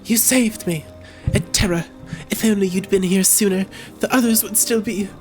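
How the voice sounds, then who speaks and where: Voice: medium pitch, normal speed, soft background music, voice pushed up, close to an everyday voice. A streamer voicing a weary freed prisoner. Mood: urgent plea. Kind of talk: reading game dialogue aloud on stream.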